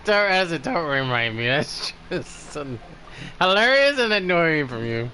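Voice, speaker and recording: A man hosts a gaming stream in casual, reactive chat. His voice sits fairly high and moves around a lot in pitch. Faint noise runs under the recording. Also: sing-song, a little slow, sharp tone. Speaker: livestreamer, host.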